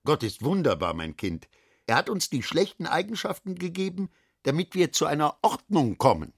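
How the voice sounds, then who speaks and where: Husky, insistent businessman father. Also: a little high, very animated, no room sound, clean studio sound, heavily acted. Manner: emphatic, argumentative, deliberate phrasing. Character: worldly middle-aged businessman father lecturing his daughter, insistent. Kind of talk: literary radio drama acting.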